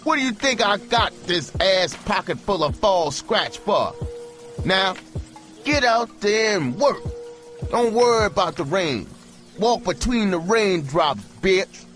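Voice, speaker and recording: A man playing a cold, hard older pimp who lectures in gruff street slang. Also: on the low side, expressive, a little slow. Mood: furious. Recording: music bed behind the voice.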